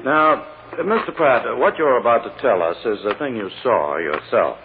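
An American actor playing a businesslike courtroom prosecutor who hesitantly coaxes a witness along in an old-time radio drama. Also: male voice, mid-range voice, animated, moderate pace, lightly acted. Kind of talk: 1940s-50s American radio drama acting. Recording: tinny, noisy old radio recording.

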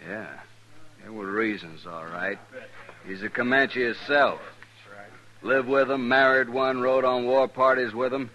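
A man's voice: medium pitch, steady tone, measured pace, bright, forceful tone. An American actor playing a bitter, vengeful frontier hothead in a 1950s radio western, biting and accusing in his delivery. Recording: dull old radio recording.

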